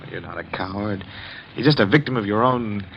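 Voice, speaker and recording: An actor playing an insistent, dismissive skeptic who is master of the house in an old-time radio drama. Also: male voice, on the low side, brisk, vocal fry. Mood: dismissive, impatient. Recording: muffled, hissy vintage radio recording.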